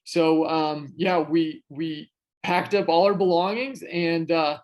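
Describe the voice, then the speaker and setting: A man's voice: on the high side, fairly even intonation, slow, darkish tone. A man who is a guest on a podcast, telling a story casually with plenty of fillers and restarts. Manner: chatty, many fillers and restarts. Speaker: podcast guest, real estate investor.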